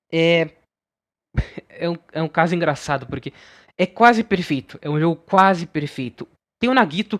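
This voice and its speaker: A young Brazilian man with a notably high voice gives casual commentary as a game reviewer. He talks informally and bluntly, laying out his opinions in a conversational way.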